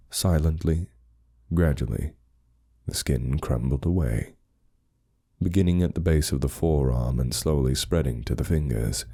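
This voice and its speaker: A man's voice: rumbling low, mellow. A man narrating a scripted horror story in a grave, suspenseful manner.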